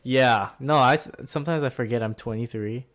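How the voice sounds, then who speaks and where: A man's voice: medium pitch, brisk, fairly bright tone. A man in his twenties, co-hosting a podcast in a laid-back, rambling way, often laughing. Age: 20s. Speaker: podcast co-host. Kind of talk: casual chat.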